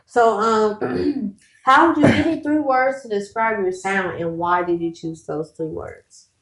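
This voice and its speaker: A woman hosting a podcast interview, a little drunk, relaxed and affirming. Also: medium pitch, unhurried, noisy background. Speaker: podcast host. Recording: echoey room.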